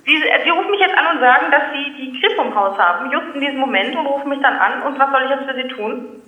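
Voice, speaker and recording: A man's voice: extremely high pitch, animated, quick pace, pushed and bright, reverberant, full character voice, rough recording. A man as a polite, baffled company phone clerk in a comic call, formal but hesitant and incredulous.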